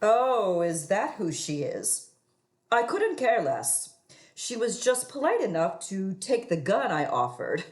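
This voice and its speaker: A woman's voice: mid-range voice, big pitch swings, slow. A woman playing a scheming, prim widow in a radio play, with a florid, sanctimonious and affected delivery. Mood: cool, sly. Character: scheming widow posing as prim, melodramatic moral crusader. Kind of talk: theatrical radio play acting.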